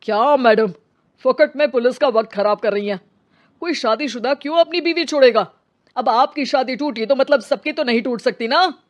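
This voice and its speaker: Woman voicing scornful man in high voice. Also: normal speed, lightly acted. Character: male characters: gruff policemen and scornful angry men. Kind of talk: dramatised Hindi story dialogue.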